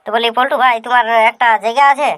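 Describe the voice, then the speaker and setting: A brash, fast-talking village cartoon caller, played by a man with a Purulia Bengali accent. The voice is pitched high and rushes through rough, swearing lines. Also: little pitch movement, pushed and bright. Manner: brash, quick rustic talk with swearing. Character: pushy village phone-company caller and friend.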